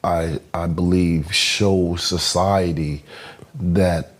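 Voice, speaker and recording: A man hosting a podcast interview, halting and earnest, with many fillers and restarts. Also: deep voice, fairly even intonation. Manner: halting, many fillers and restarts, says "you know what I'm saying"; devout, earnest. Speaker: podcast host. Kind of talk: interview conversation.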